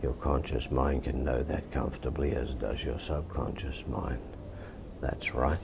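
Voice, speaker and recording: Very deep gravelly Australian man. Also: noisy background. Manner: measured, soothing, suggestive. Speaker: hypnotist. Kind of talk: hypnosis session, softly spoken.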